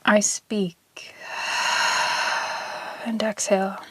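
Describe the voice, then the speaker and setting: A woman teaching yoga nidra, guiding a relaxation in a soothing, unhurried way with long pauses. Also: mid-range voice, flat delivery, a bit bright, husky, rough recording. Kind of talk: guided meditation, scripted relaxation.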